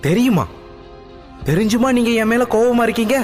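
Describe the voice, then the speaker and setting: A man's voice: high voice, animated, moderate pace, in a large hall, soft background music, voice pushed up. A man voicing a proud, headstrong young princess who argues indignantly with her parents. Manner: indignant, tearful pleading.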